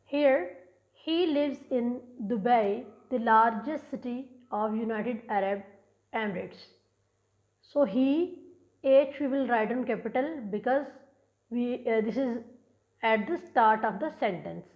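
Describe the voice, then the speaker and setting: A woman's voice: on the high side, slow pace. A woman with a Pakistani accent teaching English grammar in a recorded lesson, explaining step by step and restating points with small restarts. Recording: dull lo-fi sound.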